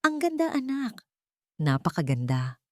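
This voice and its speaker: A woman voicing a forgiving but resolute mother and wronged wife, speaking softly and firmly in measured phrases in a romance audio story. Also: medium pitch, wildly swooping pitch, no echo, clean studio sound, pitched above the performer's own voice. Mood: tender. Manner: soft, measured, firm.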